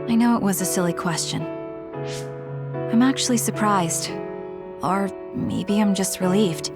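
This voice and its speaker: Woman voicing a reserved, mid-pitched young game heroine. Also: measured pace, music in the background, close to an everyday voice. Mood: pensive, guarded. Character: reserved, blunt, pensive young female fighter. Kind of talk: English video game voice acting, dramatic dialogue.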